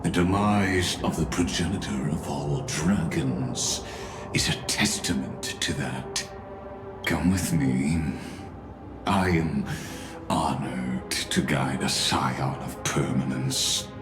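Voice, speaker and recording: Very deep, slow villain, echoey. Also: male voice. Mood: grandiose, detached. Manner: slow, deliberate, ornately formal. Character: cold, courteous, grandiose male villain, former enemy turned uneasy ally. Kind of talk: video game voice acting. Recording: echoey, with music.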